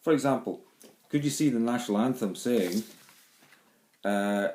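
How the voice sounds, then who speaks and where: A man's voice: medium pitch, unhurried, darkish tone. A middle-aged man from Glasgow with a Glaswegian Scottish accent, giving a rambling home video monologue with many ums and restarts. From Scotland. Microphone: handheld phone or camera. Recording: echoey room.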